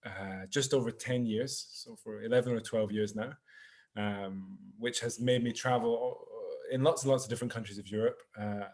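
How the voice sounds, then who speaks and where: A Scottish man in his thirties, a tour guide giving a relaxed, chatty virtual tour over a video call. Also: on the low side, moderate pace. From Scotland. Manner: relaxed and chatty, sprinkling in French words. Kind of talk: live virtual tour presentation. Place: home in Marseille.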